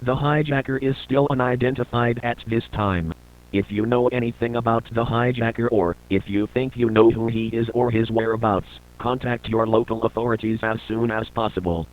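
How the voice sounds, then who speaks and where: Male alert-system announcer, measured and official, narrow lo-fi broadcast sound. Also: medium pitch, steady tone. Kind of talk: scripted emergency broadcast announcement.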